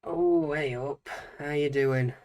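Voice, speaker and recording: A man with a Northern English accent, chatting casually during a drawing livestream, his voice croaky and a little deeper than usual from a slight hangover. He talks in a relaxed way, with frequent laughs. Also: slow. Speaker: streamer.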